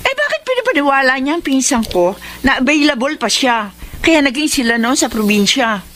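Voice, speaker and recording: A woman's voice: high-pitched, sing-song, a little fast, a bit bright. A woman acting a blunt, irritated young nursing student in a Filipino radio drama, with a brash manner. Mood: annoyed. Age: young adult.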